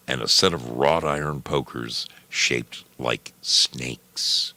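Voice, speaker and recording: Very deep, slow, gravelly male storyteller. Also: pushed and bright. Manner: slow, deliberate, measured delivery. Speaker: storyteller reading short fiction aloud. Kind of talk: scripted story narration.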